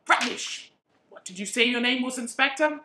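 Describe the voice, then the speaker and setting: Woman playing a pompous middle-aged businessman, high-pitched. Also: normal speed, bright, forceful tone, a little hiss or hum. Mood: indignant. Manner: pompous, indignant. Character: pompous middle-aged businessman father, dismissive and self-satisfied. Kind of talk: amateur radio play acting.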